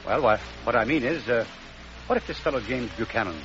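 An actor playing an earnest young man torn in love, who speaks haltingly. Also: mid-range voice, lively intonation, close to an everyday voice. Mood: hesitant. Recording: muffled old radio recording with music.

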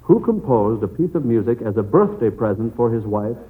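A man's voice: medium pitch, big pitch swings, a little fast. A man hosting a 1940s radio quiz as substitute quizmaster, chatting with children in a folksy, chummy way. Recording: tinny, noisy old radio broadcast.

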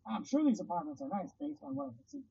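A man's voice: extremely high pitch, expressive, quick pace, vocal fry, warm tone, slightly rough, lo-fi sound. A man hosting his own podcast, talking casually and rambling in a self-deprecating way from his parked car. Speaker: podcast host. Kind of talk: casual solo podcast chat.

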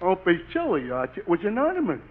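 An actor playing a slow-witted, good-natured man with a dopey drawl in a 1940s radio sitcom. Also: sits high, huge pitch swings. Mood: proud. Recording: dull old radio broadcast.

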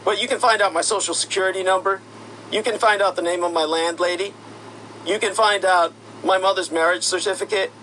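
A man's voice: sits high, fairly even intonation, a little fast, sharp tone, slight background noise, rough recording. A man delivering an indignant, accusatory monologue that builds into heated outbursts. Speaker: commentator. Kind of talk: monologue rant.

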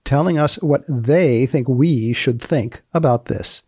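A man hosting a podcast with a gravelly voice, delivering scripted lines in a measured, deadpan, deliberately formal way. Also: mid-range voice, no room sound, clean studio sound. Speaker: podcast host.

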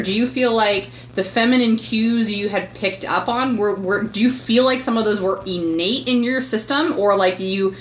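A woman's voice: medium pitch, a bit bright, reverberant, a little hiss or hum. A woman hosting a podcast in a casual interview, asking long rambling questions full of restarts and fillers. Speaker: podcast host.

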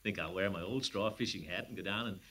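An actor playing a pompous, blustering middle-aged water commissioner in 1940s radio comedy, with a booming, chuckling laugh. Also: male voice, fast talker, bright, forceful tone, slightly rough, full character voice. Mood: scheming. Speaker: amateur detective.

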